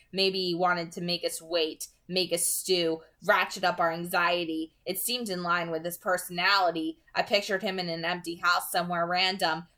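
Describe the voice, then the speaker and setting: A woman's voice: on the low side, bright, forceful tone. A woman narrating a novel aloud as an amateur audiobook reader.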